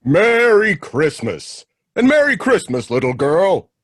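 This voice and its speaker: A man's voice: sits high, sing-song, takes it slowly, dry recording, studio quality, exaggerated voice. A man playing a jolly, kindly old Santa Claus talking to a child in an old-time radio drama.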